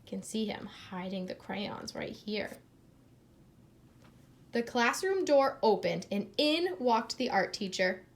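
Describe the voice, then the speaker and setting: A woman reading a picture book aloud in an expressive, teacherly way, pausing to address young listeners with questions. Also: medium pitch. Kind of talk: expressive children's read-aloud with asides and questions.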